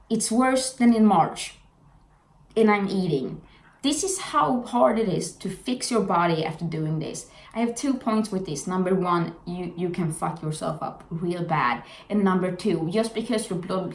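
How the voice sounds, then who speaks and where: A young woman from Sweden with a Swedish accent, giving candid, blunt personal commentary in a vlog. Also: on the low side. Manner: frank, blunt, earnest. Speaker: vlogger. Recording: echoey room with faint noise.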